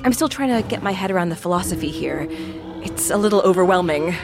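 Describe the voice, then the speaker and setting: An inquisitive, wry female traveler, acted by a woman in a fantasy audio drama. Her articulate speech swings animatedly in pitch, argumentative and emphatic, with music underneath. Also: animated, fast.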